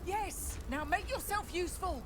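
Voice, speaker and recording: High, urgent soldier barking orders. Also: female voice, expressive, moderate pace. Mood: urgent, commanding. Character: commanding female soldier shouting orders at a burning building. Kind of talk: video game voice acting. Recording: very noisy game audio, roaring ambience behind.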